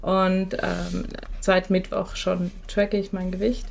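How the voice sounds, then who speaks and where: A woman's voice: on the low side, little pitch movement, slow, creaky, slight background noise. A woman co-hosting a casual chat podcast, getting over a cold, talking in a blunt, matter-of-fact way. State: leftover cold. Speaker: podcast co-host.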